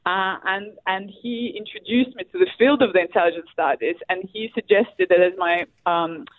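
A woman's voice: medium pitch, dry recording. Russian-accented woman on a tinny line. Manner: halting, many fillers and restarts. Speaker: guest, academic historian. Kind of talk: interview answers.

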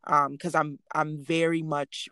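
A woman from Nigeria as a guest in a casual interview, relaxed and hesitant, with many pauses and fillers. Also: low voice, clear, resonant tone, dry recording, studio quality.

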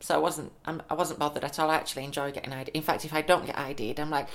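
A man's voice: a little high, steady tone, very fast, a bit bright. Young man, podcast co-host, casual chatty talk, slight lisp, laughs easily. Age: 20s. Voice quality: slight lisp from new teeth. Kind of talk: casual chat.

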